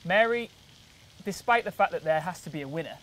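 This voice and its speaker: A man's voice: on the high side, lively intonation, brisk, fairly bright tone, slight background noise. Upbeat male TV presenter. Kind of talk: TV documentary interviewing.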